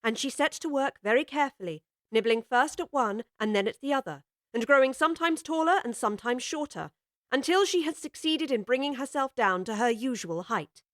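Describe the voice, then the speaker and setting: A woman narrates an audiobook story with measured, expressive delivery. Her voice sits a little high, and the recording is dry and free of room sound. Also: no room sound.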